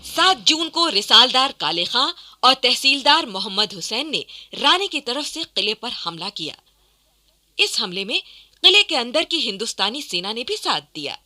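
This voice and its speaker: A woman's voice: a little high, sharp tone. A woman narrating history from a script in a dramatic radio style.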